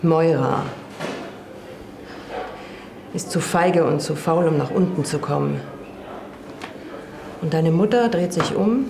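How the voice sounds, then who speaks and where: An actress playing a blunt, reproachful sister, a surgeon who lectures bluntly in a radio play. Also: female voice, low voice, unhurried, gravelly, background noise. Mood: recounting. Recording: roomy, with music.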